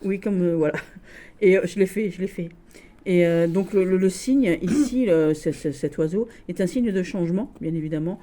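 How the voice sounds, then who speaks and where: A woman with a Lorraine accent, showing tarot decks in a casual video, gushing and halting with many restarts. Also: on the low side, dark tone. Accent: Lorraine French. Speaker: tarot deck reviewer. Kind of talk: casual tarot deck showcase.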